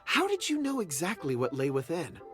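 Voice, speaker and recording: An actor playing a courteous, flattering gem merchant in a video game. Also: male voice, a little high, moderate pace. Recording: game audio with clear background music. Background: game music.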